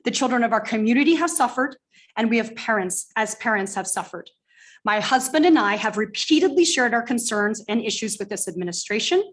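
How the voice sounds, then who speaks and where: A woman giving a firm, pointed public comment as a parent at a school board meeting, speaking loudly into a podium microphone. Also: on the high side, expressive, brisk. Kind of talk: reading a prepared public statement.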